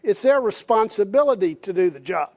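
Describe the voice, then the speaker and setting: A man speaking as a former safety official in an interview, firm but halting. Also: high voice, lively intonation, darkish tone. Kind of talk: interview soundbite.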